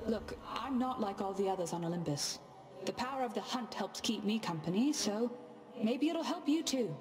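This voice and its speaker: An actress playing an aloof, independent young huntress goddess with a frank, self-possessed manner. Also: female voice, mid-range voice, expressive, normal speed, close to an everyday voice. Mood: cool, confiding. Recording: game music behind.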